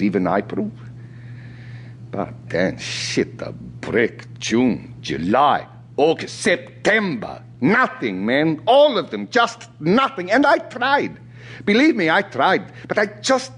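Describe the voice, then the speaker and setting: An actor playing a restless, talkative South African ex-soldier in an audio drama, chatty and colloquial. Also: male voice, a little high. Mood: frustrated. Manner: chatty, colloquial, punctuated by sighs and short laughs. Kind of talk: audio theatre acting.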